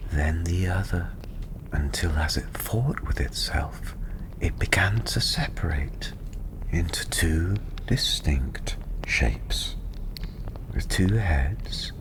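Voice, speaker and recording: A man playing a welcoming fantasy tavern storyteller, speaking softly and soothingly in a gentle, half-whispered ASMR style over clear fireside ambience. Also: deep voice. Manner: hushed, gentle, unhurried, often half-whispered. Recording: clearly audible ambient background noise.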